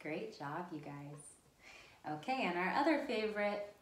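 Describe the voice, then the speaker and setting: A woman's voice: lowish voice, expressive, a little slow. A woman hosting an interactive storytime for young children, speaking directly to them in an encouraging, sing-song way. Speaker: children's storytime host at a library. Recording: roomy, echoing sound.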